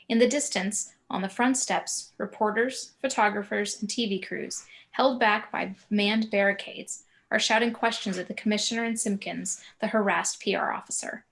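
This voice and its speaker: A woman's voice: mid-range voice, a bit bright, low-quality recording. A woman narrating scene directions for a screenplay table read, occasionally stumbling over words.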